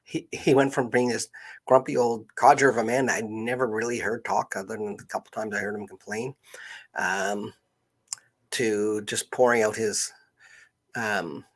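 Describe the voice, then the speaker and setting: Guy chatting on his livestream, lowish husky voice, kinda halting, keeps restarting sentences. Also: fairly even intonation. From Canada. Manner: halting, repeats and restarts phrases. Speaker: live stream host, genealogist. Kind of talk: informal live stream talk, storytelling.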